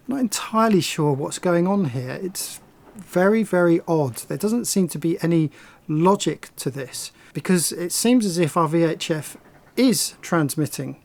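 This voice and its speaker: A man talking casually to camera as a sailing vlogger, explaining things and thinking aloud in a slightly hesitant way. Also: a little high. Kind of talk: casual explanatory vlog talk.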